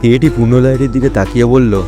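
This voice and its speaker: A man's voice: medium pitch, steady tone, quick pace, dark tone, soft background music. A man narrating a story for an audio drama in a scripted, dramatic storytelling style.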